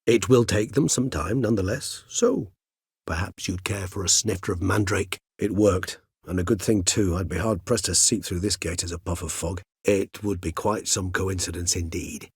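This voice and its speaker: An actor playing a courteous, erudite, world-weary centuries-old vampire in a video game, speaking in measured, eloquent, formal phrases. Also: male voice, deep voice, breathy edge, no room sound, studio quality, full character voice. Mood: measured, wry. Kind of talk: video game character dialogue.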